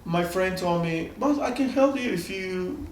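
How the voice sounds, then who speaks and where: A man from Peru with a Peruvian Spanish accent talks in halting, broken English full of restarts and laughs. His voice sits high and sounds distant from the mic, bouncing around an echoey room, with faint ambience behind him. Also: expressive, a little hiss or hum. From Peru, Amazon region. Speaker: flatmate renting out a room. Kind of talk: casual chat in broken English.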